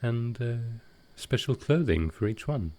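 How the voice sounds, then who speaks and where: A man playing a gentle, wistful ancient vampire in an intimate audio roleplay, soft-spoken and hesitant with halting pauses. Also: mid-range voice, expressive, close to an everyday voice. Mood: gently teasing.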